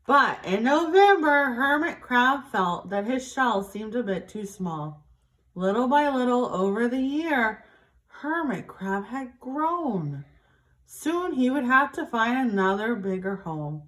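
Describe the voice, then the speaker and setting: A woman reading a picture book aloud to young children in an expressive, deliberate teacher's way. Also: on the high side.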